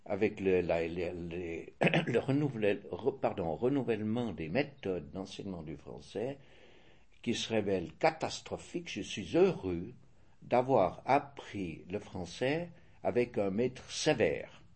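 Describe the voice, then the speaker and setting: Older Swiss French man, interviewee, measured and hesitant, lowish husky tone. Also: lively intonation, darkish tone. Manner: measured, hesitant, restarts and self-corrects. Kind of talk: casual interview answers.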